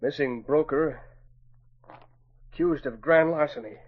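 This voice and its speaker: Betrayed broker, fairly high voice, emphatic, agitated, dull 1940s radio recording. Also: male voice, measured pace. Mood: indignant. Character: indignant male stockbroker, betrayed and vengeful. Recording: muffled vintage radio recording.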